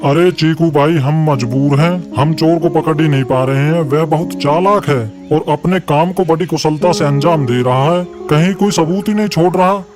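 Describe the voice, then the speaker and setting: A man's voice: a little high, normal speed, few pauses, music in the background, close to an everyday voice. A man voicing a troubled male animal who guards the forest against thieves, in a cartoon story. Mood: worried. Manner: earnest, troubled. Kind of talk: cartoon voice acting.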